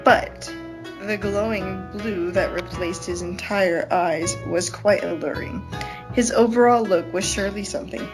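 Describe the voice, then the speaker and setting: A woman's voice: mid-range voice, a little slow, low-quality recording. Woman reading fan fiction over guitar. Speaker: podcast reader. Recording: plucked guitar music behind. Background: plucked guitar music.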